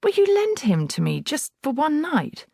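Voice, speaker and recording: A woman's voice: high-pitched, wildly swooping pitch, normal speed, vocal fry, a little mellow, no room sound, clean studio sound, voice pushed up, heavily acted. A woman voicing a clever little girl. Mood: eager.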